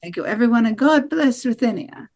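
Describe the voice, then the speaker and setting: A woman's voice: fairly high pitch, lively intonation, fairly quick, a little mellow. Woman acting a defeated nationalist politician, American accent. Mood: formal, conceding. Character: defeated female presidential candidate, nationalist, conceding with apology. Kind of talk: amateur table read of a political speech.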